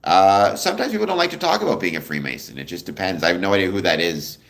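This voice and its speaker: Deep, gravelly-voiced man chatting on a livestream. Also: fast talker. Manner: relaxed, rambling, many ums, answers chat questions. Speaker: livestream host. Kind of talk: casual livestream chat reading viewer comments.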